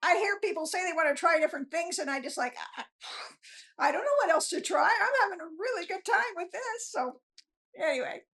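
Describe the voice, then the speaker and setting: A woman's voice: extremely high pitch, lively intonation, brisk, a bit bright. An older woman, chatting casually in an interview in a rambling, self-deprecating, chuckling way. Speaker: guest author.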